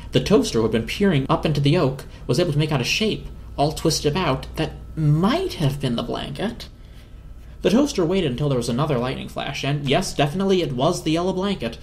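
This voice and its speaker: Man's dramatic storybook narration, mid-pitched. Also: a little hiss or hum.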